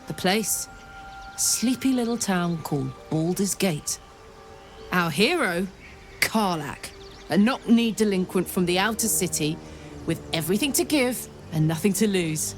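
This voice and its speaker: Low-voiced, brash tiefling warrior. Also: female voice, animated, measured pace, faint music behind. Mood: storytelling. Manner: blunt, informal, soldierly. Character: brash, warm-hearted tiefling warrior woman, infernal engine for a heart. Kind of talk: video game character acting.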